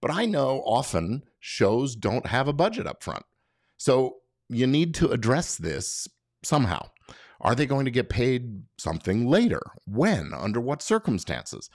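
A man's voice: mid-range voice, big pitch swings, moderate pace, a little mellow, no room sound, clean studio sound. An American man hosting an explanatory solo podcast, giving professional advice in an emphatic, conversational way with rhetorical questions.

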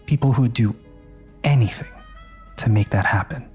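A man's voice: medium pitch, a little mellow, faint music behind, lightly acted. An actor in an audio drama playing a cold, condescending crime-family rival who mocks and draws out his contempt. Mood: menacing disdain. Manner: mocking, drawn-out, contemptuous.

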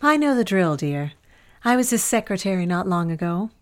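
A woman's voice: fairly low pitch, sing-song, moderate pace. An actress playing a worldly, motherly female office confidante who speaks in a soothing, confiding, gossipy way. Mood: grave. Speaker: office coworker and former secretary. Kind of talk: audio drama acting.

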